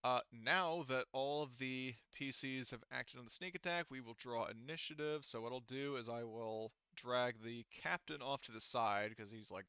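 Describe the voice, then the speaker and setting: Bright, clear-voiced man as game master. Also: mid-range voice, normal speed, hardly any pauses, no echo. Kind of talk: running a tabletop roleplaying session. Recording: slightly dull, dry close recording.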